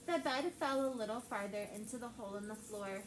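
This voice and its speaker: A woman teacher reading a picture book aloud to her class with lively character voices. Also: fairly high pitch, fairly quick. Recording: echoey classroom with background noise.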